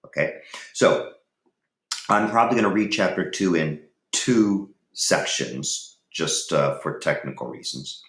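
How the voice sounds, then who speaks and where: A man teaching and reading aloud to students in a deliberate, instructive way, with pauses. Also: sits low, lively intonation, fairly bright tone. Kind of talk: lesson talk and chapter read-aloud. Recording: echoey, reverberant room.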